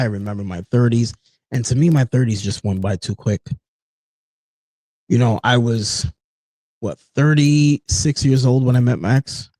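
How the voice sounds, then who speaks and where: A man's voice: mid-range voice, dark tone, no room sound. Earnest man hosting a solo podcast. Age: 30s. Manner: earnest, personal, with frequent "you know" and "folks". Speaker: podcast host. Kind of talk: reflective solo podcast talk.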